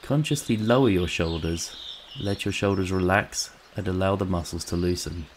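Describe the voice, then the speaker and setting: A man narrating a scripted guided meditation in a calm, soothing, measured way, with long pauses. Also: low voice, a little hiss or hum.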